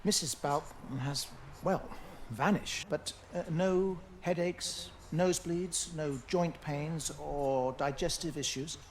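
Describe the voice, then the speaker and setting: An actor playing a mild, careful English village doctor in a naturalistic video game drama. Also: male voice, a little high, slow, hardly any pauses, slight background noise. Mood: concerned, hesitant.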